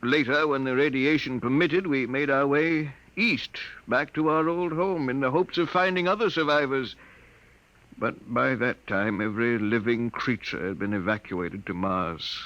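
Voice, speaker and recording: A male actor playing a lonely, elderly gentleman scientist in a 1950s radio drama, speaking formally and haltingly, often repeating his words. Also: mid-range voice, natural voice. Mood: grave, sorrowful. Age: older. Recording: dull vintage 1950s radio broadcast.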